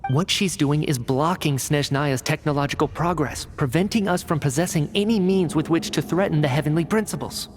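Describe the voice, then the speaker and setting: A man's voice: fairly high pitch, natural voice. A man voicing a cerebral game researcher. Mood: analytical. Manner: measured, analytical explaining. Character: analytical male researcher, measured and cerebral. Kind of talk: video game cutscene voice acting. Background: game score music.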